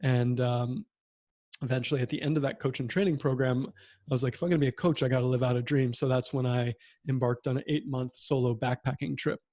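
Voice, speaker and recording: A man in his thirties, a podcast host, telling his own story in a reflective way with many pauses and fillers over a video call. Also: mid-range voice, steady tone, darkish tone, no room sound. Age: 30s. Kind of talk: conversational interview. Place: home room with bookshelf.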